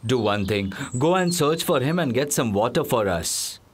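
A man voicing a wise, dutiful elder brother prince in a cartoon, measured and formal. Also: mid-range voice, animated, background noise, natural voice. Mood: calm.

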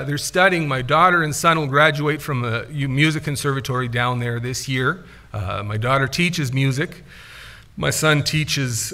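Man, middle-aged, bright voice, chatty missionary report, church pulpit. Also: mid-range voice. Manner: conversational, many "uh" fillers, gestures with hands. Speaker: missionary speaker. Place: church sanctuary at a wooden pulpit. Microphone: pulpit microphone, far camera.

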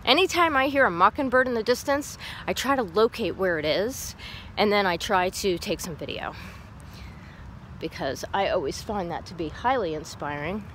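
A middle-aged woman talks to her phone at arm's length as she walks outdoors. Her voice is lively and rises and falls a lot, and she laughs often. Faint outdoor sounds sit behind her. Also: mid-range voice, normal speed, slight background noise. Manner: cheerful, chatty, laughs often while walking. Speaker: vlogger. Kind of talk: casual live walking vlog. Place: outdoors on a path in a park. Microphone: phone held at arm's length.

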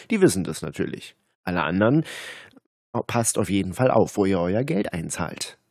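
A man's voice: lowish voice, darkish tone, no room sound, studio quality. A man speaking straight to viewers, emphatic and indignant as he warns about phone scams. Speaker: channel host. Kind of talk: commentary to viewers.

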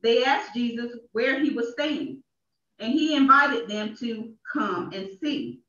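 A woman's voice: on the high side, lively intonation, slow pace, a bit bright, far from the mic. A woman minister delivering a sermon in an emphatic way, repeating phrases for effect, in a small church. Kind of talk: sermon read with deliberate emphasis. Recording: echoey, dull church livestream from off.

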